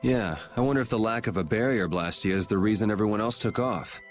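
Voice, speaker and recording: A man's voice: lowish voice, fast talker, soft background music, close to an everyday voice. An actor playing a cool young swordsman in an anime-style game, with a dry, casual, determined manner.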